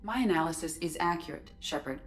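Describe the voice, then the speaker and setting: Low, clinical female ship AI. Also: a little fast, distant mic, soft background music. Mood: calm, analytical. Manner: formal, clinical, even-tempered. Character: calm, precise female ship artificial intelligence. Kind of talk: dramatic video game dialogue.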